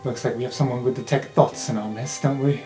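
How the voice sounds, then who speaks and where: A man voicing a grave, paranoid university dean in a tabletop game, speaking formally and deliberately. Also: medium pitch, fairly even intonation, roomy sound, music in the background, close to an everyday voice.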